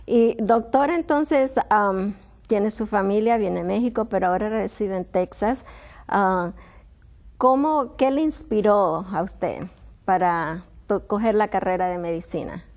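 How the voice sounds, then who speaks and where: A woman's voice: medium pitch, a little slow, darkish tone. A middle-aged woman hosting a television health program, welcoming and interviewing a guest with frequent hesitations and restarts. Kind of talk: friendly TV interview.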